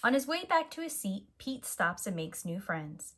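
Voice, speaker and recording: A woman reading a children's picture book aloud as a teacher, in a deliberate, animated way for young listeners. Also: mid-range voice.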